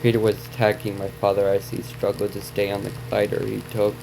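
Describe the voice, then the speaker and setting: A man's voice: lowish voice, monotone, brisk, creaky, background noise. A man reading a story aloud as a reaction video host, stumbling over and repeating words, with asides to viewers.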